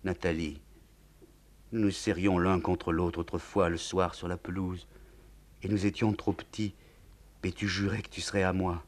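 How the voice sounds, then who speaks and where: A man's voice: fairly high pitch, moderate pace, voice pushed up. A man voicing an ardent young man who pleads passionately with the woman he loves. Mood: tender. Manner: pleading, impassioned.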